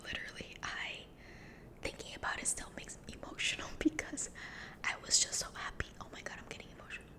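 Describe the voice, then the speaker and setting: Woman whispering close to the mic. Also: quick pace. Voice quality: unvoiced whisper. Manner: rambling, repeats words softly. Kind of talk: whispered ASMR storytelling.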